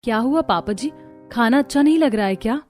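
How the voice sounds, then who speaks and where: A woman voicing a young newlywed daughter-in-law, polite and expressive, in a cartoon story. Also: a little high, fairly quick, faint music behind, natural voice. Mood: polite, eager. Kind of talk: Hindi cartoon story voice acting.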